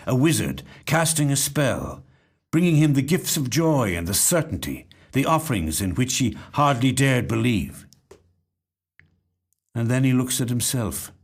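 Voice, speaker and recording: A man reading a literary short story in a measured, reflective way. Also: mid-range voice. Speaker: actor reading short stories. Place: recording studio.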